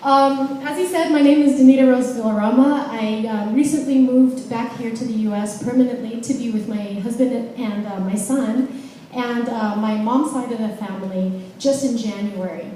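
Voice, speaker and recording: Woman in her forties, fairly high voice, chatty and self-deprecating, echoey hall, far from the mic. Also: moderate pace, mellow, a little hiss or hum. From Philippines. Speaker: guest speaker. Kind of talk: personal testimony. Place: large church hall.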